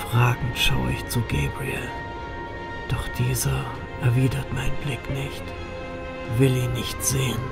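Man acting a somber reaper narrator over loud music. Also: mid-range voice, gravelly. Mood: dread. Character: brooding male reaper narrating his own horror story. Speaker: first-person narrator. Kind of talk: scripted dramatic narration, German audio drama.

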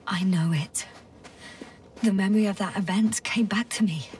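An actress playing a weary, stubborn scientist in a video game, speaking in a breathy, halting, wry way. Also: female voice, medium pitch, darkish tone, distant mic, a little hiss or hum, lightly acted. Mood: grave, certain. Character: weary, stubborn female space-mission scientist exploring a planet. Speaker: game protagonist, doctor on a space crew. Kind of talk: naturalistic video game voice acting.

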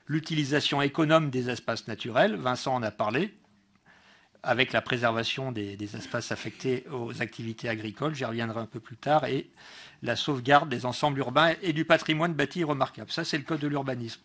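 A man's voice: mid-range voice, fairly bright tone, slightly rough. Hesitant Frenchman addressing a council meeting. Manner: hesitant delivery, frequent pauses and self-corrections. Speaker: local councillor. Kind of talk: political speech at a council meeting.

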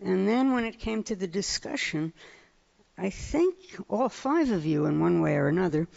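A woman in the audience of a conference hall, speaking earnestly and haltingly into a handheld microphone. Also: mid-range voice, expressive, vocal fry, a little mellow. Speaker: audience member asking a question. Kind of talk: audience question at a panel. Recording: dull audio in a large reverberant hall.

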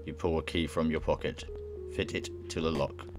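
A young man reading a visual novel aloud in a halting way, with casual reactions of his own. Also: extremely low pitch, fairly even intonation. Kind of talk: let's play, reading game text aloud with casual reactions. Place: indoor room at home.

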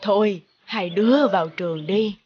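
A woman voicing an affectionate, caring old village grandmother in an animated moral story. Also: medium pitch, moderate pace, highs cut off, lightly acted. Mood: gentle. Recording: music clearly behind the voice.

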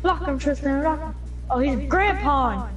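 Excitable high-voiced woman gamer shouting. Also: unhurried, dull audio. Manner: shouty, laughing, teasing. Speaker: gamer streaming with friends. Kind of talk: excited gameplay chat with teammates. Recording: game music playing behind.

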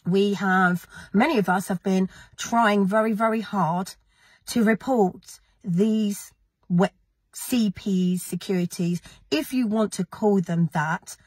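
Woman vlogger, gravelly post-illness voice, husky tone, indignant and emphatic. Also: medium pitch, no room sound. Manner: indignant, emphatic, addressing critics directly. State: gravelly, recovering from illness, with a cough. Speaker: activist vlogger. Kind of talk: direct-to-camera vlog.

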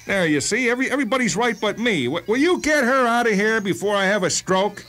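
An actor playing an irate, aggrieved shopkeeper patient in a radio drama, whiny and complaining. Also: male voice, high-pitched, lively intonation, fast, fairly bright tone. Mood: indignant. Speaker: actor voicing a character.

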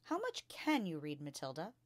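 A woman reading a storybook as a kind, gentle young female schoolteacher, encouraging and questioning. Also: deep voice, wildly swooping pitch, moderate pace, no room sound, exaggerated voice.

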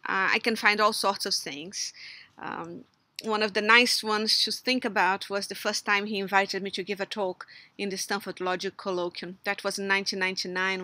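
Bright-voiced Portuguese-accented woman, hesitant. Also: mid-range voice, no echo. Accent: Brazilian Portuguese. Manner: hesitant, many fillers and restarts. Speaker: logician giving a recorded tribute. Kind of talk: recorded memorial tribute, partly read. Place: outdoors under a patio umbrella in a garden.

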